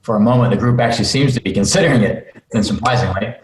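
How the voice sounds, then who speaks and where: A man's voice: fairly low pitch, fast, a little hiss or hum. A man reading stage directions as scripted narration at a table read over a video call. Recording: roomy, echoing video call audio.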